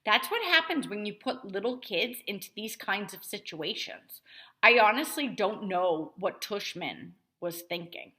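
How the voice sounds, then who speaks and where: A woman's voice: mid-range voice, lively intonation, measured pace, pushed and bright. A woman reading a children's novel aloud, voicing an indignant, self-righteous mother who complains emphatically. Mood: smug, emphatic. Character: indignant self-righteous mother rallying other school moms.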